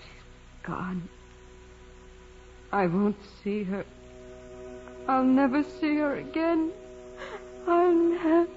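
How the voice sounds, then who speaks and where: A dying young nun in a vintage radio drama. Her voice is high, weak and slow, halting as she pleads with humble devotion. The old recording is dull, with music behind her. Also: a little mellow. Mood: anguished. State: weak, sick, straining to speak. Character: frail, devout young nun, humble and self-doubting, gravely ill. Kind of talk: 1940s radio drama acting.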